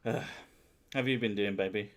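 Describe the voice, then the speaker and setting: A lowish-voiced man in his thirties chats on a stream. He is loud and sweary, and he laughs at himself. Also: steady tone, fairly quick. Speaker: streamer host. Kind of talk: casual chat with partner and chat. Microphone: headphones and desk mic.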